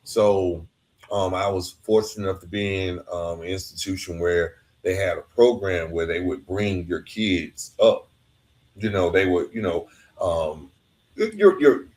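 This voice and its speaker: A man hosting a conversational interview, reflective and informal, sharing his own anecdotes and often checking in with a tag question. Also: low-pitched. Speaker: podcast host.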